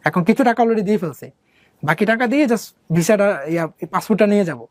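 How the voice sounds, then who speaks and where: A man's voice: sits high, expressive, a little fast, no echo. A young man from Bangladesh telling his own life story in a casual, rambling way, with many fillers and English words mixed in.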